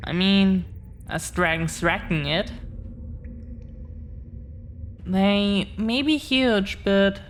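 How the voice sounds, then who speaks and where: Woman doing a shy earnest dragon-blooded girlfriend, protective, super slow halting talk, audio roleplay, bit of noise behind her. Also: mid-range voice, close to an everyday voice. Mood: hesitant confession. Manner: hesitant, halting, earnest, tender. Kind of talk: intimate audio roleplay, scripted confession.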